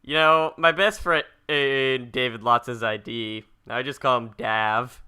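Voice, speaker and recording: A man co-hosting a casual podcast, asking questions in a halting way and often restarting his phrases. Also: mid-range voice, steady tone, bright, forceful tone, clean tone. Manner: halting, repeats phrase starts.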